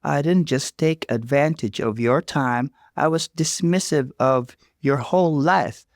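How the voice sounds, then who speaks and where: A man voicing an overwhelmed mother, a stiff and contrite sister, in an earnest, measured way, for a story. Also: on the high side, dry recording, studio quality, voice pushed up. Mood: earnest, apologetic.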